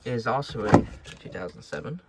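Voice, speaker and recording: A man's voice: medium pitch, expressive, fast talker. Rambling American man, noisy home recording. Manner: rambling, hesitant, self-correcting. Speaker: radio collector showing off collection. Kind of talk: casual, unscripted show-and-tell. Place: indoors at home.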